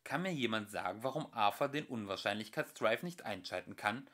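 A man voicing an exasperated young woman in a read-aloud story, shouting shrilly. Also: fairly low pitch, expressive, a little fast.

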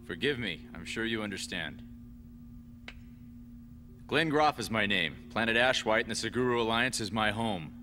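A man voicing a formal, polite, composed alien cadet in an animated sci-fi dub. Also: fairly low pitch, moderate pace, long pauses, in a large hall, soft background music.

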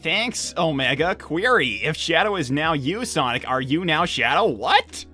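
Woman voicing laid-back young hedgehog hero, even-pitched. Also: a little slow, over loud music. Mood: dismissive, reassuring. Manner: casual, hesitant, rambling. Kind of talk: improvised in-character livestream chat.

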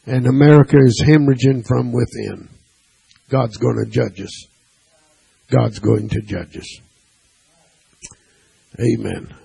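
A man's voice: mid-range voice, steady tone, slow, gravelly, pauses often, mellow, slightly rough. A man preaching a sermon to his church, dotting his points with "amen" and asking the congregation questions, and reading scripture aloud. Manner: preaching with frequent "amen" and call-and-response questions; reads scripture aloud in King James wording. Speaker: pastor. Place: church sanctuary.

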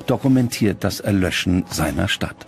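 A man with a fairly low voice narrates a documentary in standard German. His delivery is measured and grave, and music plays clearly underneath. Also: animated. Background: background music.

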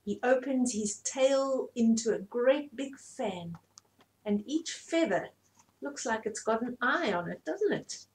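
A woman in her fifties reading a story aloud to children in an animated way, often asking the listener questions. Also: fairly high pitch, takes it slowly, low-quality recording. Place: small indoor room at home.

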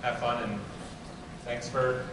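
A man making casual, halting announcements to a crowd in a large auditorium. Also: medium pitch, little pitch movement, noisy background. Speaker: event organizer making announcements. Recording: echoey hall from far off.

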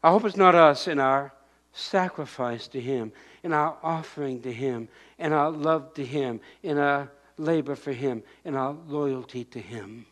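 A man's voice: mid-range voice, a little slow. An older man preaching a sermon in a church, moving about and raising his voice in bursts. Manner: animated preaching, shouting acted-out crowd voices and pointing. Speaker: pastor. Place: church sanctuary.

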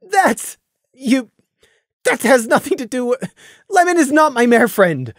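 A narrator plays a bashful young pony stallion from a storybook, stammering and tripping over his words. The voice rides noticeably higher and louder than the narration, with a lively, animated swing, recorded close and dry. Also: a little slow, no room sound, exaggerated voice. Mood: flustered. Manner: stammering, flustered, protesting.

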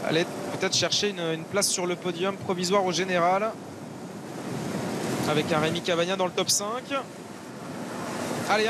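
A man giving live cycling race commentary in halting phrases, often repeating words. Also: sits high. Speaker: sports commentator. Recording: clear helicopter and vehicle noise.

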